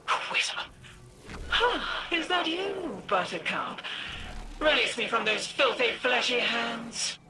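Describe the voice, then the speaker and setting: Slow, mocking cosmic entity. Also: female voice, on the high side, expressive, heavy background noise. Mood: mocking, taunting. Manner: taunting, theatrical, riddling. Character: cryptic, mocking cosmic entity, whimsical and grandiose. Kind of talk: scripted game cutscene dialogue.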